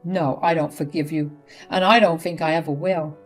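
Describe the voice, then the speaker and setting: A woman's voice: low voice, steady tone, normal speed. A woman voicing a fed-up adult son who refuses his mother bluntly and firmly, over faint music.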